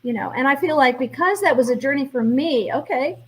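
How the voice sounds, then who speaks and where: A woman's voice: high-pitched, lively intonation, fairly quick, breathy edge. A middle-aged woman being interviewed as a guest, speaking reflectively and laughing lightly between thoughts. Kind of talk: interview conversation.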